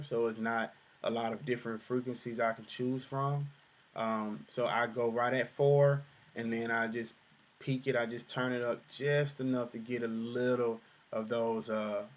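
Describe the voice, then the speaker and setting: A young adult man with a deep voice giving a relaxed music production tutorial, full of fillers. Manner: relaxed explaining, many fillers. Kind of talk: screen-share tutorial explanation.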